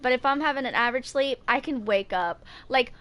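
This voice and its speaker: High-pitched young woman chatting casually. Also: fairly quick, pushed and bright. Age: 20s. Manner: rambling, informal. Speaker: livestreamer. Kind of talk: casual chat to stream viewers.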